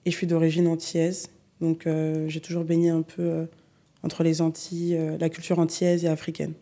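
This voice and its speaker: Low mellow-voiced woman singer, relaxed. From Paris region, France. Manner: relaxed, unhurried, many fillers. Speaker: guest singer. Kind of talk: casual interview chat.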